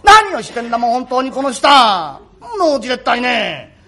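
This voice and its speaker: Man playing a bossy, nagging, high-pitched wife. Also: sing-song, moderate pace, gravelly, pushed and bright, full character voice. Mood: scolding, exasperated. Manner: scolding, impatient, earthy colloquial speech. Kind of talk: rakugo comic storytelling dialogue.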